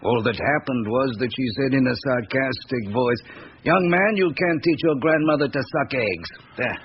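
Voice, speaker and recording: A man's voice: mid-range voice, moderate pace, lightly acted. Man acting wry young police detective. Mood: wry, deferential. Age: young adult. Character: eager, slightly rueful young male junior police detective. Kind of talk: radio drama acting. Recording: dull, noisy old radio broadcast recording.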